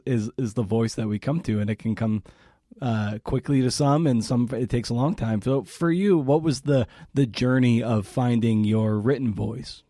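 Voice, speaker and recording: Male interviewer, warm dark voice, dry close recording, wordy questions. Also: mid-range voice, no echo. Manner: searching, halting delivery. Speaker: podcast host.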